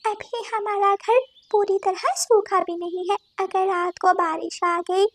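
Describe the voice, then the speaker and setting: A sweet little sparrow daughter, with a very high, piping childlike voice. She sounds curious and a bit plaintive, in cartoon style. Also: fairly even intonation, a little slow, no room sound. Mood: worried.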